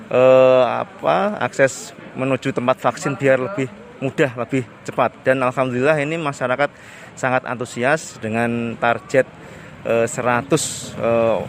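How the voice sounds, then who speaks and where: Hesitant official speaking outdoors. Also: male voice, mid-range voice. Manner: formal, frequent hesitation fillers. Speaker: village head. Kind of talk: interview answers. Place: outdoors at a village hall.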